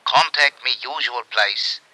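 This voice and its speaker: An actor playing a concerned, dutiful ship's purser in an old-time radio drama, earnest and businesslike. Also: male voice, medium pitch, measured pace, sharp tone, rough recording. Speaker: radio actor in supporting part.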